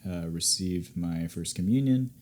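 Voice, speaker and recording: A man's voice: sits low, a little slow, a little mellow. A young American man, a podcast guest, telling stories in an easygoing, rambling way during a casual interview. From United States.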